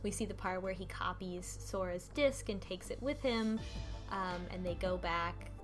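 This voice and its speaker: A woman's voice: fairly low pitch, unhurried. A woman talks as a podcast host in a casual group discussion. She retells a story in a loose, rambling, conversational way, with faint music behind her voice. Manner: rambling, conversational, quotes characters informally. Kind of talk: casual book club discussion, retelling a visual novel.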